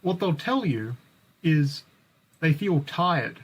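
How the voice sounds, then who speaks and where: A man's voice: on the high side, expressive, takes it slowly, slightly rough. A man delivering critical, articulate scripted commentary as a video creator.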